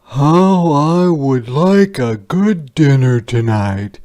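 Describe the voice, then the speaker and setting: A man voicing hungry, weary but hopeful soldiers in a storybook folktale, speaking slowly and emphatically. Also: a little high, animated, a little mellow, pitched above the performer's own voice.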